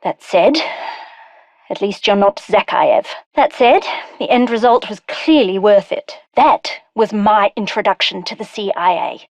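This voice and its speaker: A woman voicing a sharp-witted, driven scientist in a video game, with a wry, intense, articulate delivery. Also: medium pitch, close to an everyday voice. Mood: bitter. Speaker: game character voice lines. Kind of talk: scripted video game voice acting.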